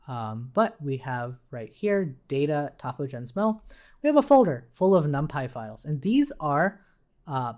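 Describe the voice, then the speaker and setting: Woman doing a casual coding walkthrough, deep-ish voice, very up and down, keeps checking you follow. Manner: checks understanding with frequent "right?". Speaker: programming instructor. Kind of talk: informal coding tutorial, explaining while typing.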